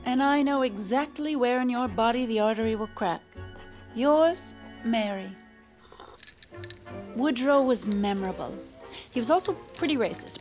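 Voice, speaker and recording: An actress playing a vain, flirtatious socialite and mistress, theatrical and self-admiring, in a comedic audio fiction monologue. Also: female voice, fairly high pitch, darkish tone.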